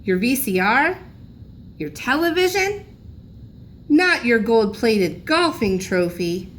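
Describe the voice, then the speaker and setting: Lady reading as a worried mom in a kids book, asking questions, slow pace, bit of noise. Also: fairly high pitch, big pitch swings, a bit bright, full character voice. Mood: startled. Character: concerned, questioning mother in a children's storybook. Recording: noisy room recording.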